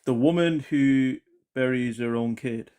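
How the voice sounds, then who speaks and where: A man, calling in with relaxed, hesitant slang-filled talk over a phone line. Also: medium pitch, measured pace, clear, resonant tone. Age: 20s. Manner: laid-back slang, hesitant. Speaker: caller. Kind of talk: casual call-in chat.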